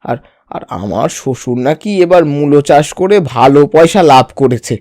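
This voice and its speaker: A man's voice: fairly high pitch, animated, moderate pace, darkish tone, no room sound, close to an everyday voice. A man voicing a scheming village son-in-law in a comic cartoon, falsely polite and ingratiating, often repeating his words. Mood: slyly insinuating. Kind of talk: exaggerated comic cartoon dialogue.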